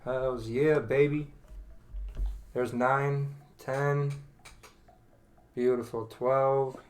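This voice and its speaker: A man hosting a live trading session on a video call, talking through his trades and cheering the chart on with excitement. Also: medium pitch, extremely slow. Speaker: host, forex trader.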